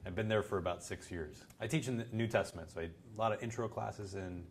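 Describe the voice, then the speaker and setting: A low-voiced man in his thirties, born in Puerto Rico and a native Spanish speaker, talks through his story in a seated interview. He is thoughtful and gestures as he speaks in a bright living room. A faint low rumble sits under the recording. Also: fast. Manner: thoughtful, gesturing with both hands while speaking. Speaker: seminary professor. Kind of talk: reflective interview answers. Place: living room by a large window.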